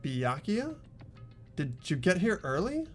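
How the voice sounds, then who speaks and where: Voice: mid-range voice, huge pitch swings, slow pace, faint music behind, heavily acted. A performer voicing an earnest, hesitant ordinary teenage boy who narrates and speaks in a fan-dubbed visual novel. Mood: puzzled.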